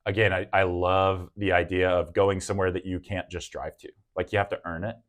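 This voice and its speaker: A man from the American South speaks in a very low, deep voice as an interview guest. He talks earnestly and reflectively, often pausing on "um" and restarting his sentences. His tone stays grounded and sincere. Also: level tone. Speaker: guest, real estate agent. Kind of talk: casual interview conversation.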